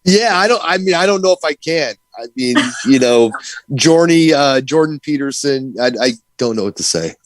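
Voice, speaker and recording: A man's voice: on the high side, lively intonation, moderate pace, no echo. A man co-hosting a livestream, talking casually and giving political opinions. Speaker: livestream co-host.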